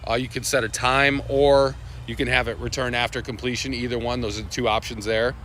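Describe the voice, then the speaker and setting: A man's voice: medium pitch, little pitch movement, brisk, fairly bright tone, a little hiss or hum. A man hosting a casual live walkthrough of product updates, talking loosely with lots of fillers and restarts. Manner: loose and rambling, with many fillers, restarts and asides. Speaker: livestream host presenting product updates.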